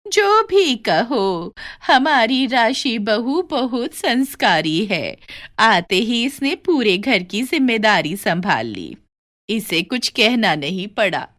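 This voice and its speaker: A woman voicing an elderly grandmother-in-law in an animated family story, praising and teasing in a slow, drawn-out way. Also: on the high side, exaggerated voice. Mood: approving, teasing.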